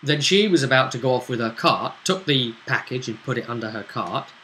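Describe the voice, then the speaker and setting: A man acting as game master, recapping a tabletop role-play session in a casual, halting storytelling way. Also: mid-range voice, lively intonation, pushed and bright, slight background noise.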